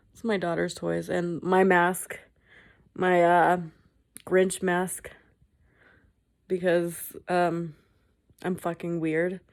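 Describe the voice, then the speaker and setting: A woman vlogging at home in a casual, rambling chat, swearing freely and mocking herself. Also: on the low side. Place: indoors at home.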